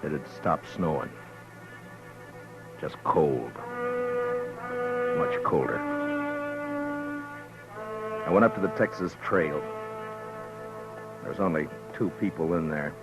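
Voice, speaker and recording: Here is a stern frontier marshal in a 1950s radio western, with a very deep, measured voice. Music plays clearly behind him. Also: male voice, exaggerated voice, rough recording. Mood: narrating. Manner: calm, measured narration. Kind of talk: 1950s radio drama acting.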